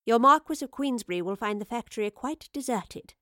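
A woman's voice: on the high side, lively intonation, fairly quick, bright, forceful tone, no echo. A woman voicing a smug, amused lady professor with a dry, teasing manner in an audiobook story. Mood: weary, triumphant.

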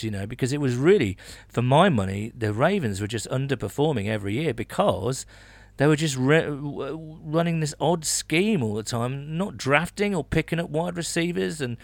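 A man talks sports casually as a podcast co-host. His voice sits at a middling pitch and his phrasing is colloquial and colourful. The sound is close and dry, with very little room in it. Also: dry recording.

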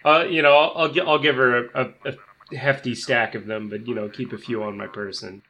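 A man's voice: medium pitch, steady tone, fairly quick, bright, forceful tone, rough recording. A man playing a tabletop role-playing game, casually describing what his character does.

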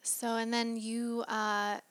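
A woman's voice: a little high, level tone, takes it slowly, clear, resonant tone. A woman hosting a podcast, interviewing a friend in an eager, encouraging way. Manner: warm, encouraging, eager listener. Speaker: podcast host. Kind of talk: casual interview.

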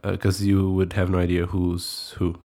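A man's voice: low-pitched, moderate pace. A young man from Portugal with a Portuguese accent, co-hosting a casual podcast chat with easygoing hesitations and laughter. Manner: relaxed, hesitant, laughs often. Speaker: podcast co-host.

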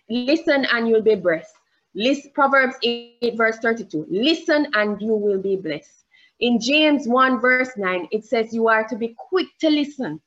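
Slow-preaching Jamaican woman. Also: fairly high pitch, muffled recording. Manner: slow, deliberate preaching with rising emphatic questions; pauses and fillers while searching for words. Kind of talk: church sermon. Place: church.

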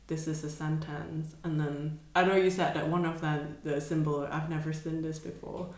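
A woman's voice: sits low, flat delivery, normal speed, a little mellow. Woman, hesitant, echoey room. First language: Cantonese. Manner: hesitant, searching for words, laughs often. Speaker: artist guest. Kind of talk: casual conversation.

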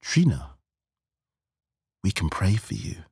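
A man voicing an imperious middle-aged society chairwoman, pompous, deliberate and pious in a comic story. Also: low voice, big pitch swings, a little mellow, husky, no room sound, clean studio sound, full character voice. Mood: soothing, pious.

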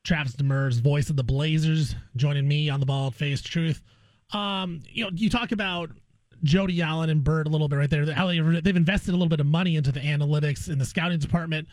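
Higher-pitched man hosting sports radio. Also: fairly quick, no echo, low-quality recording. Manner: informal, chummy. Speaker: guest host of a sports radio show. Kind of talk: sports talk radio interview.

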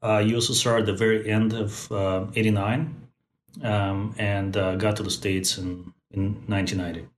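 A man in his forties, born in Moscow and raised in Central Asia, speaks thoughtfully as a podcast guest, pausing often to find his words. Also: lowish voice, little pitch movement. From born in Moscow, raised in Tajikistan. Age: 40s. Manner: thoughtful, many fillers and restarts. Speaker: guest, company founder. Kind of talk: podcast interview. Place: Bay Area.

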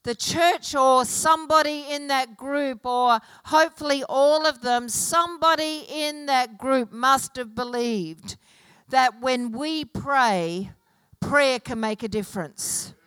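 Slow, emphatic woman preacher. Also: high voice, a bit bright, clean tone, dry recording. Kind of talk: sermon. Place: church hall.

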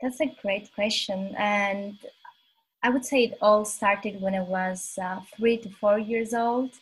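Husky young woman, a modest interview guest. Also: medium pitch, steady tone, slow, low-quality recording. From Albania. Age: 20s. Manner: soft-spoken, modest, earnest storytelling. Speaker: podcast guest. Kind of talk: casual interview conversation.

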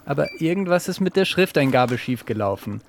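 Animated man in a children's audio play. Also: fairly high pitch, brisk, creaky, a little hiss or hum. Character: himself turned into a reluctant pink pig. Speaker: podcast co-host.

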